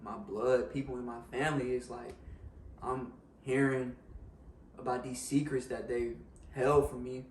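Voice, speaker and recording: A young man with a slightly raspy voice talks frankly, often checking in with a quick "you know what I'm saying." His pitch sits in the middle for a man. The sound comes from across a roomy living room with a faint hiss. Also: level tone, a little mellow, far from the mic. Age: young adult. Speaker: guest in a discussion. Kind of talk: casual reflective chat. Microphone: far camera.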